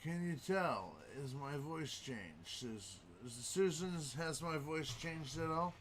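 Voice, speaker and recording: A man hosting a late-night live stream and chatting to his viewers at an unhurried, drawn-out pace. He rambles and repeats himself, and keeps asking the chat questions. A faint hum sits under the voice. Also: fairly high pitch, expressive, fairly bright tone. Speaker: streamer host.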